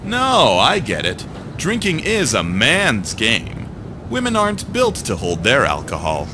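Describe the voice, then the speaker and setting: A man's voice: mid-range voice, wildly swooping pitch, unhurried, background noise. A man playing a cocky, taunting young pilot in a voiced game, goading and condescending. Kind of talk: voiced visual novel game acting. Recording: game music behind the voice.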